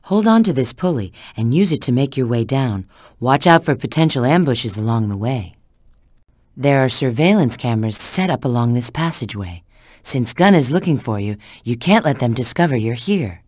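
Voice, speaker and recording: A woman's voice: a bass voice, lively intonation, moderate pace, darkish tone, no echo, studio quality. An actress playing a sly, confident female spy in a video game, giving scripted hints in a cool, assured, instructive way.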